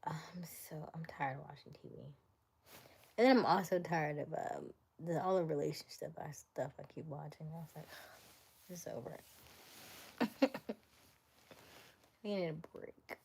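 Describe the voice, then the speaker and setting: A woman hosting a casual live stream, chatting playfully with viewers, laughing often and dropping to a soft whisper as she reads comments. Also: sits low, moderate pace, vocal fry, long pauses, a little hiss or hum. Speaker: livestream host.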